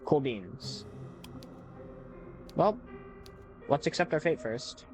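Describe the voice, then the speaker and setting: A man giving casual let's play commentary, excitable, swearing and stumbling over his words. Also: medium pitch, takes it slowly, faint music behind. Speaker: gamer.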